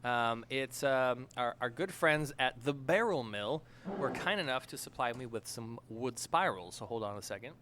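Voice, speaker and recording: A man's voice: mid-range voice, expressive, moderate pace, background noise. A man hosting a casual podcast chat, joking around and talking with plenty of fillers. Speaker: podcast host.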